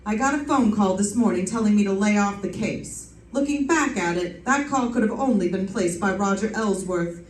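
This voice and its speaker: A woman's voice: medium pitch, moderate pace, natural voice. A woman playing a wry, hard-boiled private detective in a live old-time radio mystery, telling it in slangy style. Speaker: radio drama performer. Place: live stage performance in a reverberant room. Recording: echoey room, picked up from a distance.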